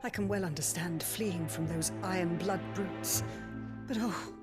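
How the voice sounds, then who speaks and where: A woman's voice: lowish voice, a little slow, over loud music, close to an everyday voice. An actress playing a refined, emotional middle-aged noblewoman in a video game cutscene. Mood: wistful. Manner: refined, emotional, formal speech. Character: warm-hearted widowed noblewoman, middle-aged.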